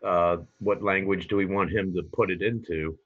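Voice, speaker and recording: A man's voice: low-pitched, steady tone, normal speed. A man playing a tabletop game over a call, speaking in a plain, businesslike way. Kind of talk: casual in-character chat over a call. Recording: muffled online call audio.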